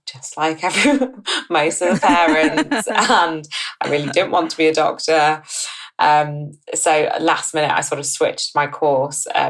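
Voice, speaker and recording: A woman in her thirties from northern England, with a low voice and a gravelly creak. She talks as a podcast guest in a chatty way, filling pauses with ums and restarting sentences. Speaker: guest, company co-founder. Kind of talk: podcast interview.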